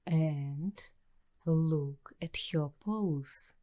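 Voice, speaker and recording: A woman playing an uneasy, suspicious young waitress in an ASMR roleplay, speaking to the listener in hushed, halting phrases. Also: low voice. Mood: intrigued. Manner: hushed, halting delivery in short broken phrases with long pauses.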